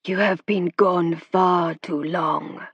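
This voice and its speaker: A woman voicing a foreign male spirit guide speaking through a medium in a deep, growly, foreign-sounding voice, slow and solemn, on a dry, slightly dull recording. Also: fairly even intonation, slightly rough, dry recording, full character voice.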